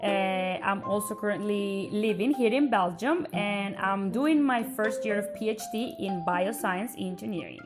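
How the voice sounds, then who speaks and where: A woman's voice: mid-range voice, moderate pace, few pauses, over loud music. A young Colombian woman with a Spanish accent, co-hosting a podcast in a thoughtful, casual way with fillers. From Bogotá, Colombia. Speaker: podcast co-host. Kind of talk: casual chat.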